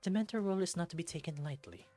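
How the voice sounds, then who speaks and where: A man voicing a precise, commanding leader of a superhero team in a visual novel, speaking in a formal, measured, authoritative way. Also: a little high, warm tone, faint music behind, pitched above the performer's own voice, natural voice. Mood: measured, insistent.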